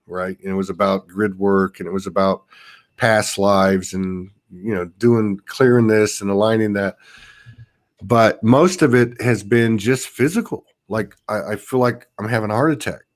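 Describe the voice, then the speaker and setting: A man's voice: fairly low pitch, steady tone, moderate pace. A man talking casually and reflectively about spiritual experiences, circling back and rephrasing as he goes.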